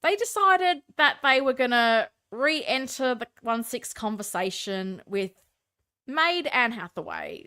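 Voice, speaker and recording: A woman's voice: fairly high pitch, fairly bright tone. A woman with a stuffed-up, congested voice hosts a casual live stream, chatting opinionatedly and answering viewer comments.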